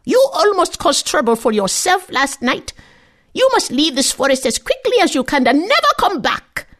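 A woman voicing an old half-woman, half-creature who mothers the forest beasts, speaking high and commanding in a told folktale. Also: sing-song, normal speed, a bit bright. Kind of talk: storytelling, voiced character.

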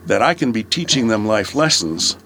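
A man's voice: fairly low pitch, sing-song, moderate pace, bright, forceful tone, a little hiss or hum. A middle-aged man talking casually and conversationally as an interview guest.

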